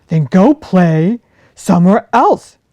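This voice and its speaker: A woman voicing a big brown male bear, speaking loud and slow in a children's storybook reading. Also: on the low side, sing-song, no room sound, highs cut off, full character voice. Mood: grumpy.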